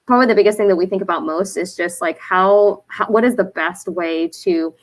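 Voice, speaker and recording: A woman from the United States whose first language was Vietnamese, a brand founder answering interview questions in an earnest, reflective way with soft fillers. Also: medium pitch, brisk.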